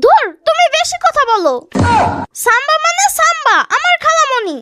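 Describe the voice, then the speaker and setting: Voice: extremely high pitch, sing-song, normal speed. A performer voicing a cocky, scrappy little boy in a comic cartoon, scolding and cheeky. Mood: cheeky, impatient. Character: cocky, scrappy little boy who bosses grown-ups. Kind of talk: Bengali comic cartoon dialogue. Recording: loud background noise.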